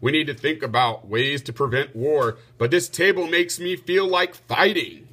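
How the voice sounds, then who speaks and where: A stern, dissatisfied storybook monarch, read by a man. He speaks firmly in a bright, forceful voice. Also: fairly high pitch, moderate pace, husky. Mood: fed up. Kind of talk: children's storybook read-aloud.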